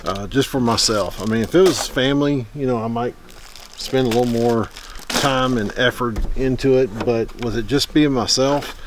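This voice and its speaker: A man in his forties chats into a nearby camera at a wooded campsite, loose and rambling, often trailing off with an um. His voice sits at an ordinary middle pitch for a man. Steady outdoor noise runs clearly underneath him. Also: moderate pace. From Middle Tennessee. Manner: rambling, easygoing, many ums. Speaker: outdoors vlogger. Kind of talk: casual talk to camera. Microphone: camera mic at close range.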